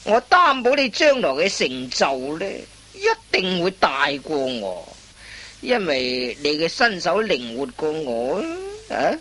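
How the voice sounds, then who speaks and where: A woman's voice: deep voice, big pitch swings, takes it slowly, a bit bright, exaggerated voice. A woman playing a sly, boastful old veteran thief in a radio comedy, with a theatrical, sighing drawl. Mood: lecturing, scheming. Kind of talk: radio comedy drama acting.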